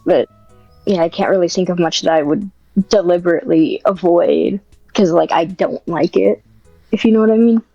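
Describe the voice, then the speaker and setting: A woman's voice: on the low side, moderate pace, vocal fry, mellow, dry recording, faint music behind. Hesitant woman, slightly muffled dry recording. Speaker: podcast guest and script writer. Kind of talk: casual interview chat.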